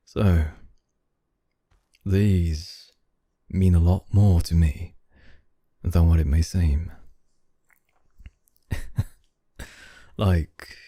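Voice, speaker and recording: A man playing a suave, dominant, flirtatious CEO in an intimate ASMR roleplay, speaking in a teasing, deliberate way. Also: fairly even intonation, mellow, no room sound, clean studio sound, heavily acted. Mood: smooth, flirtatious. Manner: slow, teasing, deliberate, with low chuckles. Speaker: ASMR voice actor.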